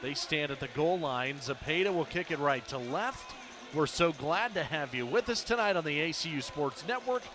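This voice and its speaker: An American man calling football play-by-play on the radio in short, crisp announcer phrases. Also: fairly high pitch, lively intonation, normal speed.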